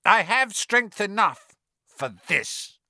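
A man voicing a cruel, snake-faced dark wizard with a high, hissing, snarling voice in an audiobook. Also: very animated, slow, vocal fry, dry recording, clean studio sound, heavily acted. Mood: commanding. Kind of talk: dramatic audiobook character voice.

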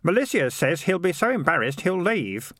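A man's voice: sits high, sing-song, moderate pace, a bit bright, dry recording, studio quality, voice pushed up, heavily acted. A man voicing an earnest, worried and slightly hesitant boy in a storybook.

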